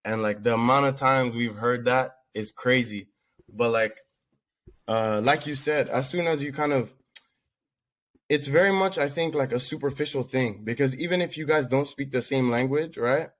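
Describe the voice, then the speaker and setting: A man's voice: mid-range voice, moderate pace. A man from the Netherlands co-hosting a podcast, telling stories casually with slangy asides. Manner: animated storytelling with slangy asides. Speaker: podcast co-host.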